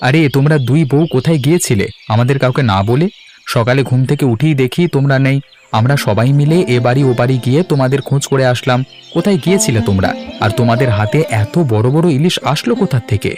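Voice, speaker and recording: A man's voice: mid-range voice, quick pace, darkish tone, faint music behind, natural voice. A man voicing a young village husband in a cartoon, earnest, insistent and reasonable. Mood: puzzled, questioning. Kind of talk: cartoon voice acting.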